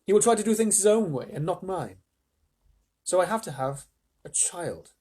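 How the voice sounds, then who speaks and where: A reader acts out an eccentric old factory owner from a children's storybook. He is emphatic and brisk, with an animated range and a husky edge to his voice. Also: medium pitch, pitched above the performer's own voice. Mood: earnest. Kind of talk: storybook reading.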